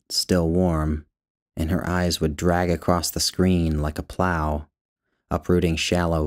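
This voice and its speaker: A man with a deep voice narrates literary fiction. He reads as the author of the piece, in a measured and deliberate storytelling style. Certain phrases are drawn out for weight. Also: darkish tone. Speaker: author narrating his own short story.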